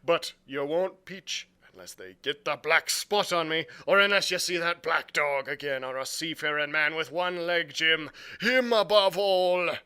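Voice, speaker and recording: A man's voice: on the high side, a little slow, pushed and bright, smooth. A man voicing an ailing, drunken old sea captain in a storybook reading, with rambling, urgent sailor talk. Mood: urgent, fearful.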